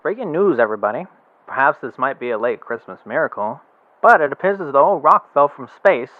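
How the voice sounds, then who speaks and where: A man's voice: mid-range voice, expressive, a little fast, slight background noise, close to an everyday voice. An actor playing a chatty, folksy male radio announcer reading the weather and news. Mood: excited. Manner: casual, folksy, upbeat chatter. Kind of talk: amateur radio drama acting. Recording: tinny phone-like radio sound, very dry.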